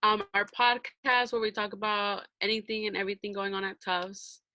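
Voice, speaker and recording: Young female podcast host from Arizona, bright and bubbly, dry close sound, video call. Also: mid-range voice, steady tone, normal speed, no echo. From Arizona, USA. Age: young adult. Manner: bubbly, laughs often, says um and like.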